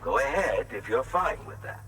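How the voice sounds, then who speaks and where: A man's voice: mid-range voice, big pitch swings, normal speed, far from the mic, noisy background, natural voice. An actor playing a guarded, reclusive man in a video game, musing in conversational, emphatic speech. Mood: wary. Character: guarded, reclusive male shelter dweller, grateful but distrustful.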